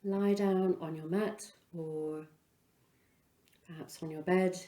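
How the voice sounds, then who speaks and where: A woman leading a guided relaxation as a yoga instructor, speaking in an unhurried, soothing way with pauses between phrases. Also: fairly low pitch, fairly even intonation, mellow, echoey room.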